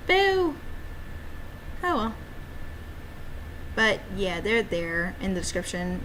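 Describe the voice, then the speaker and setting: Female streamer, medium pitch, playful off-the-cuff rambling with cutesy interjections, noisy background. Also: a bit bright. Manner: playful, uses cutesy exclamations. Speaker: streamer and amateur game developer. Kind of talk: casual rambling livestream chat. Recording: clear background noise.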